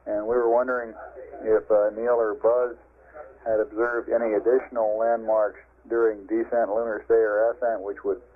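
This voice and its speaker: A man's voice: fairly low pitch, little pitch movement, normal speed. A man at mission control speaking over radio in clipped, businesslike procedural phrases. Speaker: mission control capsule communicator. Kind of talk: procedural space-to-ground radio exchange. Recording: narrow tinny 1960s radio link.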